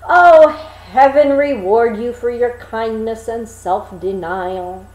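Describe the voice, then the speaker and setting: A woman voicing a naive, excitable young man in a storybook, with exclamatory, old-fashioned speech. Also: a little high, slow, reverberant, low-quality recording. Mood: grateful. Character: naive, excitable young man Hans, easily pleased and alarmed.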